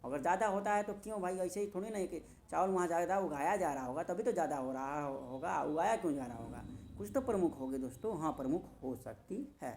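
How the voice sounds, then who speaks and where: A man's voice: on the high side, fast, darkish tone, slight background noise. Man teaching an exam class in belt style. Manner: repeats phrases, asks and answers own questions; emphatic, addresses students directly. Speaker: maths and reasoning teacher. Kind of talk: informal exam coaching lesson.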